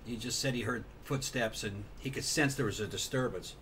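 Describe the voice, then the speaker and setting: The mid-pitched male co-host of a homemade podcast, talking a point over and over with a friend, faint noise in the background. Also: a little fast, fairly bright tone. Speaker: show co-host. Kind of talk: casual conversational discussion.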